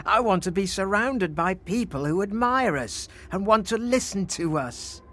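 A man voicing a vain, discontented husband who hungers for admiration, complaining and excitable, in a storybook tale. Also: high-pitched, moderate pace, voice pushed up. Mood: moaning.

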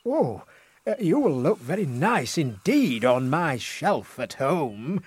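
A man's voice: fairly high pitch, very animated, takes it slowly, a little hiss or hum. A man acting a humble, good-natured fisherman in a children's story, hesitant and stammering. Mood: admiring.

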